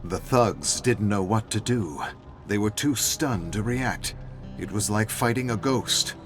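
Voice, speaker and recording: An actor playing a grave, brooding storyteller, narrating dark tales in a measured, theatrical delivery. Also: male voice, on the low side. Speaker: narrator of game lore logs. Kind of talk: dramatic scripted narration.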